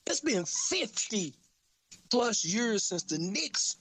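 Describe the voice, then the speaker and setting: A man with a Southern country accent co-hosting a casual sports talk show, getting animated as he talks. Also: takes it slowly, breathy edge. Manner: animated, excitable, rises high when hyped. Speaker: podcast co-host.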